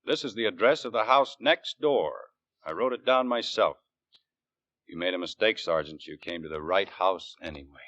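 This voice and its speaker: An American man playing a world-weary police captain in a 1940s radio drama, narrating and questioning in a measured, dry way. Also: sits low, fairly bright tone, no room sound. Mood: probing, controlled. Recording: dull restored 1940s radio recording, music.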